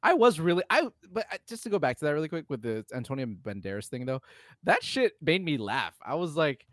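Animated, blunt man on a podcast. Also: a little high, a little fast, dry recording, clean studio sound. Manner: blunt, swearing, exasperated emphasis. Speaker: podcast co-host. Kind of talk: casual film discussion.

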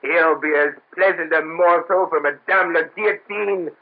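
An actor playing a gleeful, gloating executioner in an old radio drama, with a gravelly voice. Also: male voice, on the high side, moderate pace. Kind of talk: 1930s radio drama acting. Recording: tinny old radio recording.

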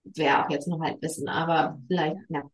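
A woman hosting a casual podcast conversation, enthusiastic and halting with many restarts. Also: low-pitched, steady tone. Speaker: podcast host. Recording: roomy echo.

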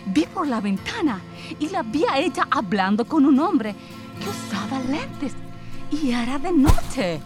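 A returning exile in a radio play, speaks with earnest, dramatic weight. Her voice is high and very animated in pitch, with clear music behind it. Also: unhurried. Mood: haunted, confessional. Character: older exiled woman returning home to her lost love. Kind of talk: radio theatre acting.

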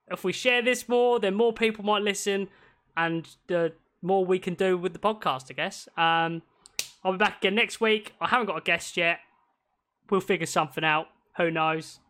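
A man hosts a laid-back podcast from home, talking in loose, rambling runs full of restarts and cheerful swearing. His voice sits high and sounds bright and sharp. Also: moderate pace. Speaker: podcast host. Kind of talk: casual podcast chat. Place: at home, recording over a video call.

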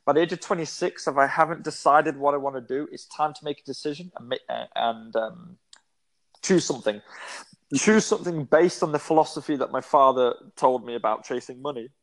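A man's voice: mid-range voice, fairly quick. A man in his twenties, a guest in a casual interview, talking in a rambling way with many fillers and restarts. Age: 20s.

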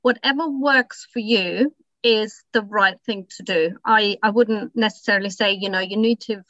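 A woman's voice: medium pitch, moderate pace, a bit bright, no echo, muffled recording. A woman whose first language is German, speaking English as a writing coach on a podcast, giving encouraging, practical advice with frequent ums. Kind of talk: casual podcast chat.

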